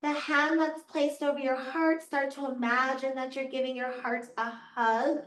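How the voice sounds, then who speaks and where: A woman yoga teacher guiding a class through slow, soothing cues, her voice a little raspy from losing it. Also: sits high, flat delivery, fairly bright tone. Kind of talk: guided yoga class instruction. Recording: echoey room, voice from far off.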